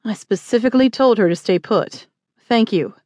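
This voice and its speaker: A woman's voice: fairly high pitch, normal speed, warm tone, close to an everyday voice. A stern frontier marshal as voiced by a woman narrator in an audiobook western. He gives orders firmly and with irritation, used to being obeyed. The sound is dry, without room echo. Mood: annoyed. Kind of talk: acted audiobook dialogue.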